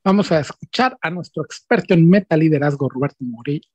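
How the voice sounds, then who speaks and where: A man with a Mexican accent co-hosting a radio show, energetic and upbeat. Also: fairly high pitch, brisk, husky, dull audio. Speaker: radio co-host. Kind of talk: radio interview.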